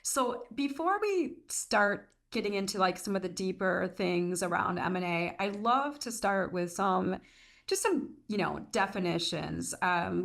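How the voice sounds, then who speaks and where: Low-pitched woman hosting a business livestream. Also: creaky, a bit bright. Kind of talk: conversational business interview hosting.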